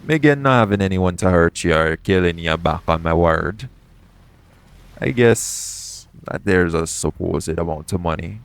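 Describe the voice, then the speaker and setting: A man playing a shady, smooth-talking pirate captain in an audio roleplay, coaxing and self-assured in a conversational way. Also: deep voice, expressive, unhurried, full character voice. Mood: persuasive. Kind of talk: second-person audio roleplay acting.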